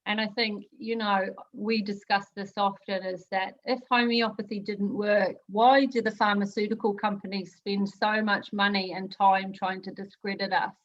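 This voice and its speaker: A woman's voice: medium pitch, little pitch movement, normal speed, few pauses. Effusive woman host, dull audio. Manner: effusive, enthusiastic, hesitant with fillers. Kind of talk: interview show chat.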